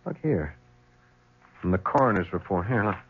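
A shrewd, dogged police lieutenant from a 1970s radio drama. His low voice swings widely in pitch as he probes drily. The old broadcast sound is muffled and narrow. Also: expressive, normal speed, husky, exaggerated voice. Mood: brisk, intent. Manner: dry, probing.

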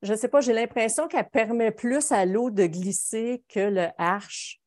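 A woman's voice: on the high side, measured pace, darkish tone. Middle-aged female art instructor, Quebec French accent, conversational live demo on a video call. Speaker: watercolour painting instructor. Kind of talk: live painting demonstration, informal explaining. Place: home studio, indoors.

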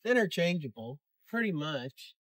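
A man's voice: fairly high pitch, sing-song, moderate pace, fairly bright tone, no echo. A man in his forties making dry jokes while driving a utility vehicle outdoors, picked up on a passenger's phone. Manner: joking, dry quips. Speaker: homesteader, co-host. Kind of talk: casual banter. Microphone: phone held by a passenger.

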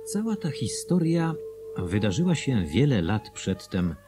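An actor playing a former small-town mayor who recounts the town's history in a radio drama, husky and expressive. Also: male voice, mid-range voice, unhurried, over music. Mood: wry, expressive.

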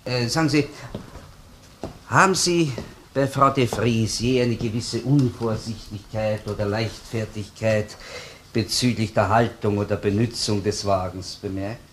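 Actor as a probing police investigator, noisy old recording. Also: male voice, fairly low pitch, steady tone, unhurried, close to an everyday voice. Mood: probing, insinuating. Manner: probing, with questioning hums and tag words. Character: male police investigator, probing, courteous, dryly persistent. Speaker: actor in a crime radio play. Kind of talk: German radio crime drama acting, interrogation and dictation.

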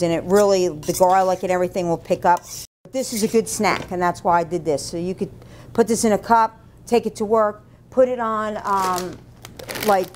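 Casting: middle-aged woman, medium-pitched voice, informal cooking instructor, slight background rumble. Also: darkish tone. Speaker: cooking demonstrator, food and beverage director. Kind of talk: casual cooking demonstration. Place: indoor institutional kitchen. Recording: low rumble, faint room noise.

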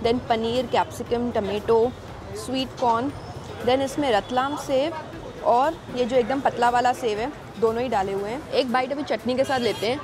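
A woman's voice: fairly high pitch, moderate pace, a crowd in the background. A young woman, reviewing street food in a casual vlog, chatting while she eats, recorded on a lapel mic in busy food shops. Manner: chatty, mixing Hindi and English, tasting on camera. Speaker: food vlogger.

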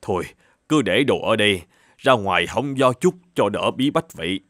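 A man's voice: fairly low pitch, lively intonation, moderate pace, fairly bright tone, no room sound, clean studio sound. A man voicing a kind, honest middle-aged travelling merchant in a cartoon folk tale, polite and earnest. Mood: calm, resigned. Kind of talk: animated folk tale dubbing.

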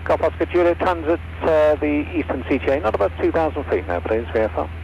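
A man's voice: on the high side, expressive, quick pace, creaky. A man speaking as an air traffic controller in clipped phraseology over aircraft radio. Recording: dull, noisy aircraft radio reception.

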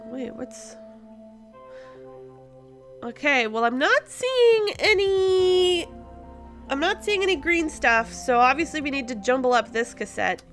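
A woman with a high, bright voice hosts a casual video game playthrough. She thinks aloud at an unhurried pace and breaks into exclamations of surprise. Faint music sits behind her. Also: very animated. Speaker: host of a gaming series. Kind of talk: casual let's play commentary.

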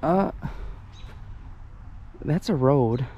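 A man's voice: mid-range voice, animated, very slow, dull audio, slight background noise. A young man filming a family walk on an outdoor trail, chatting cheerfully to the camera through an action-camera mic. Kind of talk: casual chat. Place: outdoor wooded nature trail.

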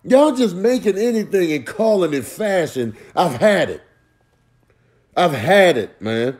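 Animated higher-pitched man, comic commentary. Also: unhurried. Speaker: comedian doing voiceovers. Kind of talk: comic commentary on short clips.

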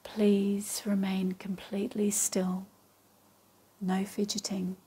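A woman with a soft, breathy voice leading a guided meditation in a calm, measured way with long pauses. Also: mid-range voice. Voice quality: soft, breathy, partly whispery. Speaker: yoga nidra meditation guide. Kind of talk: guided meditation, slow and soothing instruction.

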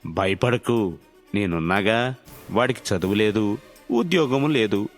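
A man voicing a self-interested, dismissive city-dwelling elder son in a story, curt and low-key. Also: lively intonation, measured pace. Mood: placating. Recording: music under the voice.

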